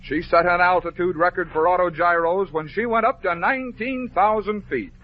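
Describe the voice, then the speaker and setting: Animated American man, higher-pitched storytelling voice. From American Midwest. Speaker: radio host and storyteller of a children's show. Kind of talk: 1950s radio storytelling for children, scripted narration. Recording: dull, noisy vintage 1950s radio recording.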